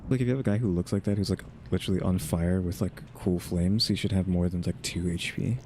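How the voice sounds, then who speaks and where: A man's voice: low voice, steady tone, fast, noisy background. A man giving casual, laid-back gameplay commentary, thinking aloud as he plays. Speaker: gaming video creator. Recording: loud game music behind voice.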